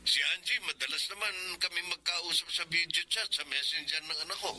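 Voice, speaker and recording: A man's voice: fairly high pitch, a little fast, bright, forceful tone, breathy edge, exaggerated voice, low-quality recording. A Filipino man playing a dutiful, earnest adult son in a radio drama. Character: Ramon, dutiful, earnest engineer son working abroad.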